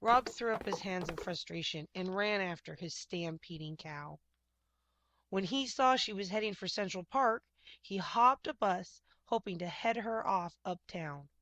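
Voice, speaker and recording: Bright-voiced woman reading a picture book. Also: medium pitch, dry recording. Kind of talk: expressive scripted children's story narration.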